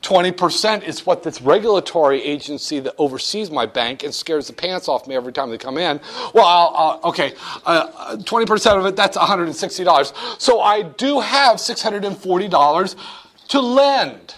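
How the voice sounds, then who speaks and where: Lively high-voiced male economics lecturer. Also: normal speed. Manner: playful, acts out banker-customer dialogues with jokes. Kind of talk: classroom lecture.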